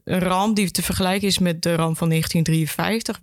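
A woman's voice: fairly low pitch, fairly even intonation, brisk, a little mellow, no echo, clean studio sound. A woman, talking conversationally as an archive education officer in an interview.

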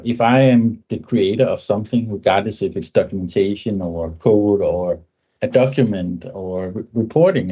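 A man's voice: fairly low pitch, dark tone, breathy edge, highs cut off. A man, a podcast guest speaking thoughtfully and often ending points with a tag question. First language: Danish. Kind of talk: conversational tech interview.

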